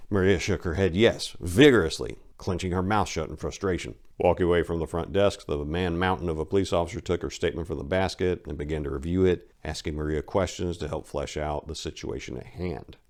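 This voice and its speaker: A man's voice: low-pitched, fairly even intonation, fairly quick, hardly any pauses. A man narrating a crime story as a dramatic reading.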